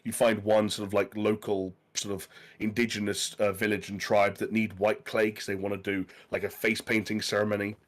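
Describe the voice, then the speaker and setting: A man's voice: lowish voice, level tone, a little fast, few pauses. A man co-hosting a gaming podcast, talking casually and rambling with plenty of fillers. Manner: rambling, chatty, lots of fillers. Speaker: podcast co-host. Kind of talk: casual chat.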